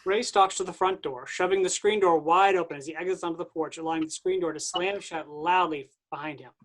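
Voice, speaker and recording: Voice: mid-range voice, fairly even intonation, fairly quick, a little hiss or hum. Plain reader of stage directions. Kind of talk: reading stage directions aloud.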